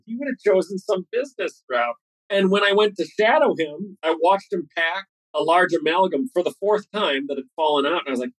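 A man in his forties, a dentist and podcast guest, telling his career story in a fast, eager way with frequent restarts. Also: a little high, dry recording, rough recording. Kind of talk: podcast interview answers.

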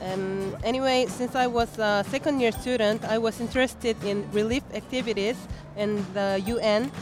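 A woman's voice: a little high, fairly even intonation. Young Korean-accented woman guest, careful phrasing, live booth with background music. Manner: careful, measured speech with frequent hesitations. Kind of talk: casual interview at a book fair. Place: busy fair hall.

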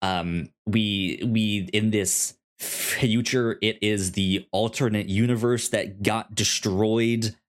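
A man co-hosting a casual podcast about a TV show, with a halting, stammering delivery. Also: lowish voice, steady tone. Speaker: podcast co-host.

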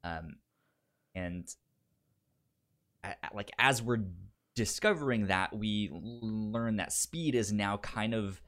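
A man's voice: on the low side, slow pace, pauses often, a bit bright. A man hosting a podcast in casual film discussion, with a stutter that repeats sounds. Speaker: podcast host.

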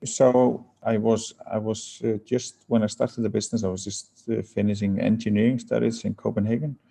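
A man from Iceland answering interview questions as a company founder, speaking haltingly with many fillers and restarts. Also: fairly low pitch, fairly even intonation, gravelly, dark tone, husky.

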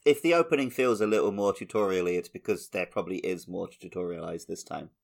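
Male co-host, lowish voice, conversational and self-deprecating, for casual gaming chat. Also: fast talker, creaky. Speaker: podcast host.

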